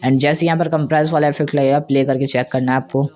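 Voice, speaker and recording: A man hosting a casual, step-by-step software tutorial. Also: fairly high pitch, fairly even intonation, fast talker, faint music behind. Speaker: tutorial host.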